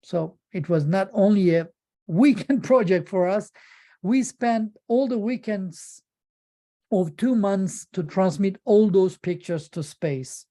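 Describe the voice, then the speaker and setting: A woman's voice: medium pitch, expressive, slow pace, warm tone. A woman giving an informal presentation about a project as a presenter. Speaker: conference presenter.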